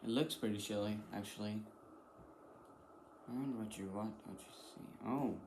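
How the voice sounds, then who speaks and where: A man's voice: fairly low pitch, moderate pace, a little mellow, a little hiss or hum. A man giving casual reaction commentary, thinking aloud in a hesitant, repetitive way. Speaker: reaction video host.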